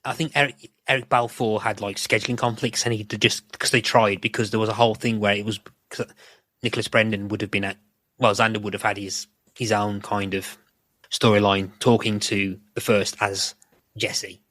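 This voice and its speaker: A man's voice: lowish voice, little pitch movement, normal speed, pushed and bright, no room sound. A man co-hosting a podcast in casual discussion, rambling and often correcting himself as he goes. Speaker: podcast co-host.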